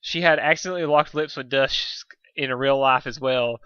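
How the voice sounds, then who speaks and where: A man reading a story aloud as an amateur, playful and animated, stumbling over words now and then. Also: mid-range voice, normal speed, clear, resonant tone, no room sound, highs cut off. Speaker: amateur fan fiction reader.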